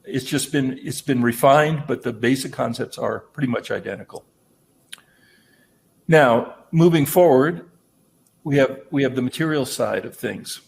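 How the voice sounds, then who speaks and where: A man presents a manufacturing training session in an easygoing, conversational manner. His voice sits at an ordinary middle pitch, and he keeps checking in with his listeners as he explains. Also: unhurried, creaky. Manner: conversational, explanatory, tag "right?".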